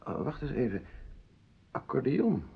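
Absent-minded gravelly doctor, lively pitch. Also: male voice, measured pace, full character voice. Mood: hesitant, puzzled. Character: absent-minded, irritable male doctor and husband. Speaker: radio drama actor. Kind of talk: Dutch radio play dialogue. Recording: dull lo-fi radio play recording.

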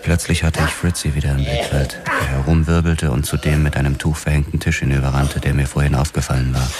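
An actor playing a haunted, hard-boiled 1940s police officer who narrates a crime radio drama. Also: male voice, a bass voice, level tone, fairly quick, heavy background noise. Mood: haunted, grim. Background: prominent music.

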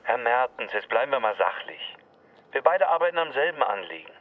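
An actor playing a cool, bureaucratic state security officer in a radio drama, matter-of-fact and deliberate. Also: male voice, mid-range voice, sing-song, phone-quality sound, close to an everyday voice. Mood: cool, dismissive. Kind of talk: German radio drama acting.

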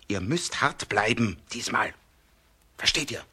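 An actor playing a sly, boastful red-haired busybody who poses as a friend in a children's radio drama, with a wheedling, self-important delivery. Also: male voice, medium pitch, expressive, measured pace, bright, forceful tone, slightly rough, full character voice. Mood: insistent, wheedling. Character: sly red-haired meddling village man, needling false friend.

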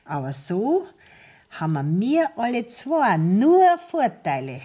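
An actress playing a talkative, aggrieved middle-aged sawmill widow in a radio play, speaking Bavarian dialect in a confiding, folksy way. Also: female voice, on the high side, huge pitch swings, slow, dark tone, slightly rough, heavily acted. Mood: eager, confiding.

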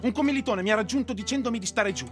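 A proud soldier in his early thirties delivers a dramatic stage monologue. His voice is high and forceful, declaimed with defiance over faint music. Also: very fast. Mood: urgent. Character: defiant one-legged soldier, early thirties, recounting his own death. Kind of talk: dramatic first-person theatrical monologue.

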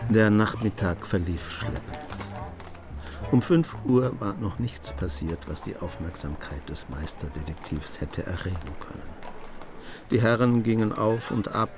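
A man's voice: on the low side, animated, measured pace, over music. A man narrating a crime comedy radio play as a composed storyteller with dry, understated wit. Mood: composed, wry.

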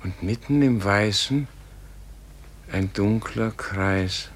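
An actor playing a frail, weary and bewildered old man in a radio play, speaking in halting, broken phrases. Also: lowish voice, husky. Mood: awed, searching. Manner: slow, halting delivery in short broken phrases. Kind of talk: German radio play acting.

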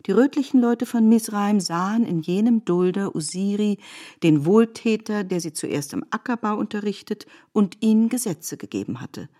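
A woman narrating scripted literary prose for a radio production in a measured, articulate way, recorded in a studio. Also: medium pitch, dark tone.

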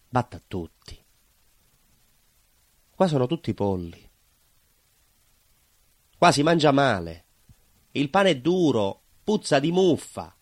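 A dry, close sound carries a stubborn, nostalgic baker. He tells his story as a colloquial stage monologue, leaning on repeated phrases and deliberate pauses. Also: on the low side, no room sound. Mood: boastful, then bitter, insistent. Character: proud, plain-spoken northern-born Calabrian baker, stubborn and nostalgic. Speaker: stage actor.

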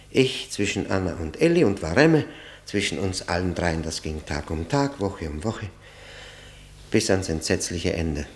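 An actor playing a tormented, bitter man confessing his ruined marriage in a brooding, halting monologue, in the style of 1960 radio drama. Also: sits low.

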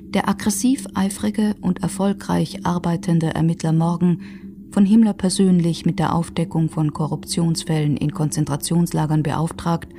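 A woman with a low, very mellow voice narrates a scripted documentary. Her delivery is composed and factual, laying out records plainly. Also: steady tone, normal speed, a little hiss or hum.

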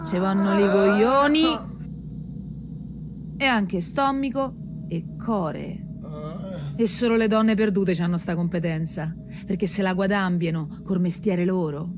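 A woman's voice: mid-range voice, measured pace, background noise, close to an everyday voice. A woman playing a proud, tough, world-weary prostitute with a Roman accent, earthy and defiant in radio theatre.

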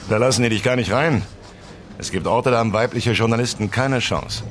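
A man's voice: medium pitch, brisk, very noisy, lightly acted. A man acting a dogged, sceptical newspaper reporter with dry humour and a laconic, questioning manner in a naturalistic German radio drama. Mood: dry, curt. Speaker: actor.

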